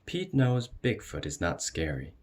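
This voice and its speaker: A man reading a children's picture book aloud as an expressive storyteller. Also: sits low, a little slow, a little hiss or hum. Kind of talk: expressive read-aloud narration.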